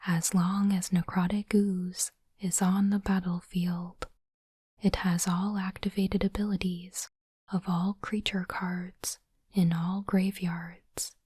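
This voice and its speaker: Slow whispering woman, ASMR style. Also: on the low side, level tone, dry recording, studio quality. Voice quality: soft, breathy unvoiced whisper. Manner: gentle, unhurried, deliberate short phrases. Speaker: ASMR host unboxing trading cards. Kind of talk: whispered ASMR, reading cards and commenting. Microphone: close microphone, dry.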